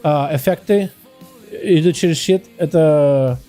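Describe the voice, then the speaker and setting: A man with an American accent chatting on a game livestream, halting and searching for words as he switches between Russian and English. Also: fairly high pitch, mellow, faint music behind. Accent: American, speaking Russian. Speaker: game streamer. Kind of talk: casual livestream chat.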